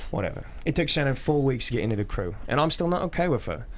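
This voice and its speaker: An actor playing a livid, foul-mouthed young street rapper with slangy, blunt talk in a comedy table read. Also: male voice, medium pitch, very animated, fast. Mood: indignant.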